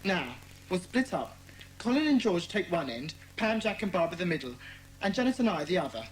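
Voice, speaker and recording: A woman's voice: fairly low pitch, sing-song, a little fast, slight background noise, low-quality recording. A woman playing an earnest, decisive boy who leads child detectives in a dramatised children's audiobook. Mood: brisk, commanding. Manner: decisive, instructing.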